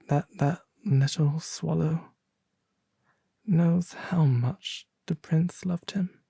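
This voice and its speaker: A man playing a melancholy, genteel owl demon prince, reading a storybook aloud in a soft, refined, breathy ASMR voice. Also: a little high, dry recording, full character voice. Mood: wistful, halting. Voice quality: breathy, soft, often half-whispered. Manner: refined, gentle, formal diction.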